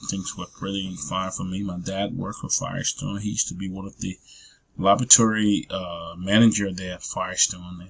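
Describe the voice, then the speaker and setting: A man, giving a personal testimony talk as a guest speaker, hesitant with many drawn-out fillers and restarts. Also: deep voice, breathy edge, poor audio. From Liberia. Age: 40s.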